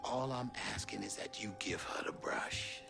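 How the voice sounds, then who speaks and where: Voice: mid-range voice, moderate pace, creaky, music in the background. An actor playing a sly, folksy trickster in a video game, insinuating and often dropping to a whisper. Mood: coaxing. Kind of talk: dramatic video game voice acting.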